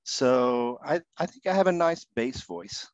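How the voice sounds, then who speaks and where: A man in his thirties co-hosting a podcast, talking in an easygoing, relaxed way with many asides. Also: medium pitch, unhurried, no room sound, dull audio. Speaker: podcast co-host. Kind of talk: casual chat.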